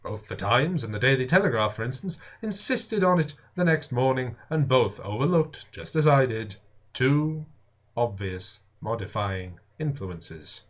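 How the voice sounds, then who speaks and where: A man's voice: medium pitch, lively intonation, unhurried. Dull lo-fi recording of a man narrating theatrically. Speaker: audiobook reader. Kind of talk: dramatic literary narration of a Victorian science fiction novel.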